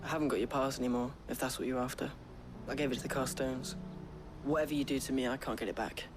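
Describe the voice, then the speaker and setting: An actor playing a defensive young street pickpocket in a video game. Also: male voice, mid-range voice, steady tone, fast, music in the background, lightly acted.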